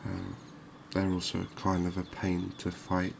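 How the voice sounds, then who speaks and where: A man with a deep, very flat voice narrates gameplay in a soothing ASMR style. He speaks softly and takes his time, with long, slow phrasing. Faint ambient noise sits behind his voice. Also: creaky. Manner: soft-spoken, unhurried, soothing. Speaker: gaming video host.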